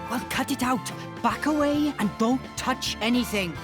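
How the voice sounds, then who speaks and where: A woman's voice: a little high, over music, close to an everyday voice. A woman playing a bossy, plain-spoken young companion in a video game cutscene. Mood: exasperated, scolding. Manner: blunt, brisk.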